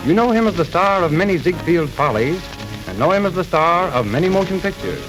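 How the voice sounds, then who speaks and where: An old radio recording with music playing behind a male announcer. His voice is a little high and swings widely in pitch. He delivers a scripted introduction in formal, declamatory 1930s broadcast style. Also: very animated, fairly quick, low-quality recording.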